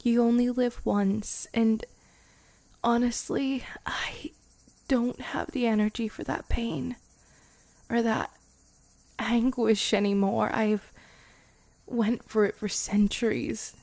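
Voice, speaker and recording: A woman voicing a centuries-old vampire girlfriend, wistful and protective, in soft, intimate ASMR roleplay that drifts into whispers. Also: fairly high pitch, steady tone, no room sound. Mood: wistful, reflective. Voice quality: soft, breathy whispery moments. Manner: slow, hesitant, sighing between phrases.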